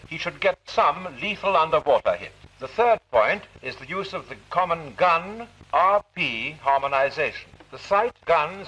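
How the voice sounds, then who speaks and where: A man's voice: fairly high pitch, pushed and bright, slightly rough, dull audio. A British man reading scripted narration for an instructional film in a formal, didactic manner, on a vintage soundtrack.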